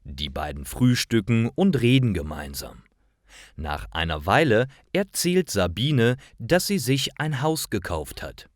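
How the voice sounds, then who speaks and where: A man reading scripted stories for language learners, narrating carefully in clearly separated phrases. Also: on the low side, sing-song, unhurried, no room sound, studio quality. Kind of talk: scripted narration, slow learner-friendly storytelling.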